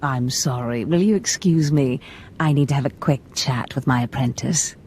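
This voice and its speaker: A woman playing a smooth, scheming Sith noblewoman in a video game, theatrical, honeyed and polite, with mock innocence. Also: very deep voice, moderate pace, darkish tone, slight background noise. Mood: bored, playful.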